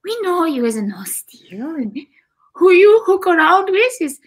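A woman's voice: very high voice, big pitch swings, unhurried, heavily acted. A woman playing a fretful Korean immigrant mother, speaking pleading broken English with a Korean accent on a video call. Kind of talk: live table read.

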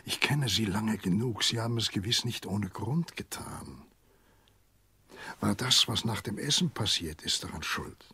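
Husky, low-voiced old family doctor. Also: male voice, animated, unhurried, bright, forceful tone, dry recording, full character voice. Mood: gentle, serious. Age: older. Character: elderly family doctor, grave, careful and kindly. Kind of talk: German radio play acting.

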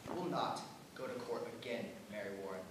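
A woman's voice: mid-range voice, expressive, moderate pace, close to an everyday voice. A woman playing a stern, commanding farmer and husband, using old-fashioned speech. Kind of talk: stage play performance. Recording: echoey, far-off stage audio with background noise.

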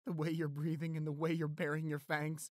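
A young man acting an anxious, devoted boyfriend in a roleplay audio, halting and stammering as he pleads. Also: on the high side, completely flat delivery, dry recording, studio quality, close to an everyday voice. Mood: tender, pleading.

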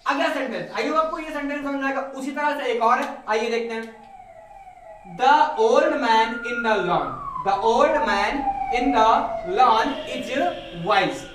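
A man's voice: very high-pitched, slow, background noise. A young man teaching English grammar at a whiteboard, asking rhetorical questions and answering them himself, recorded from a distance in a room. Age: young adult. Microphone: camera at a distance. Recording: echoey room, voice off-mic.

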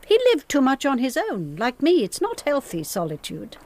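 A stoic housekeeper. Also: female voice, high voice, wildly swooping pitch, moderate pace, exaggerated voice. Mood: matter-of-fact. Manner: plain-spoken, stoic. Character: brisk middle-aged female housekeeper. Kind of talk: 1990s radio drama acting.

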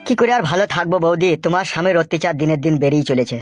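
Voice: medium pitch, very fast, lightly acted. A performer voicing an aggrieved, loyal young man in a cartoon comedy, earnest and dramatic. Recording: faint music behind the voice.

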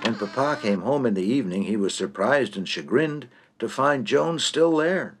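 A man's voice: medium pitch, animated, normal speed, over loud music. A man narrating a comic short story in a droll, theatrical, precise manner.

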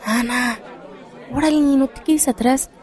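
Mexican women's leader, firm pep-talk friend, mellow voice, radio soap, slight crowd behind. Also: female voice, high voice, unhurried. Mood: firm, encouraging. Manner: firm, exhorting, colloquial. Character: encouraging, determined female activist leader, friend and mentor. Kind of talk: radio soap opera acting.

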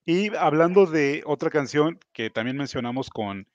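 A man's voice: on the high side, expressive, normal speed, a crowd in the background. Man hosting over headset. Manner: supportive, short backchannels, laughs along. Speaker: interviewer, host. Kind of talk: casual video call interview. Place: home room. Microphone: headset on video call. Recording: slightly dull video call audio.

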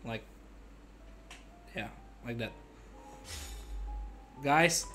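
A young adult man giving casual, playful gaming commentary to his viewers like an excitable showman. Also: mid-range voice, very slow. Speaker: game streamer. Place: indoor gaming setup at home. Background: game music.

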